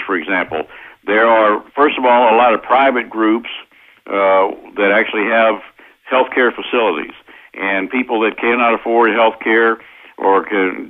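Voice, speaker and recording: An American man explaining his points methodically as an interview guest, with hesitant pauses, over a tinny phone line. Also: on the low side. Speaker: interview guest, constitutional lawyer. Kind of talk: explanatory radio interview answers.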